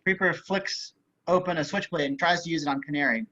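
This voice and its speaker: A man's voice: fairly high pitch, fairly quick, bright, forceful tone, slight background noise. A man narrating stage directions for a screenplay table read over a video call. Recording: slightly dull video-call audio.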